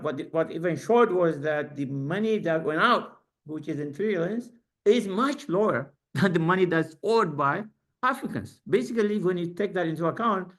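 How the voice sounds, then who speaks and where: A man giving academic panel remarks on a video call, halting with many fillers and restarts. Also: a little high.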